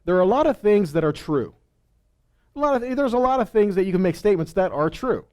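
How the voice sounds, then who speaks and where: A man's voice: sits high, animated, fairly quick, a little mellow. A man giving a conversational Bible teaching, explaining didactically and often posing questions that he then answers himself.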